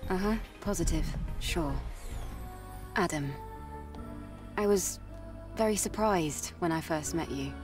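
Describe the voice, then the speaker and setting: An actress playing a reserved, earnest young female soldier in a game cutscene, soft-spoken and sincere, set back from the mic with music behind. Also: on the low side, takes it slowly, long pauses. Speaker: protagonist. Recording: distant voice over game music.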